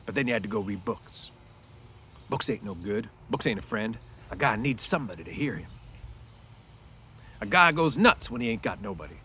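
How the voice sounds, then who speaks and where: A man's voice: lowish voice, lively intonation, normal speed, pauses often, pushed and bright, a little hiss or hum. A man voicing a lonely, bitter, scornful stable hand in a storybook, with folksy, ungrammatical ranch speech. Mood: agitated, lonely.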